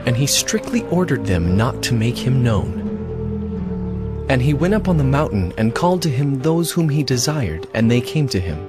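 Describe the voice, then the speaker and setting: A man narrating scripture in an audio drama, reading in a steady, earnest way. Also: mid-range voice, moderate pace. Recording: music bed behind voice.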